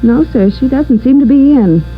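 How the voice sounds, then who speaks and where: An actress playing a prim, helpful, puzzled American hotel desk clerk with a polite, proper manner in 1950s radio drama. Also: female voice, high-pitched, expressive, moderate pace, slight background noise. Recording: muffled old radio recording with music.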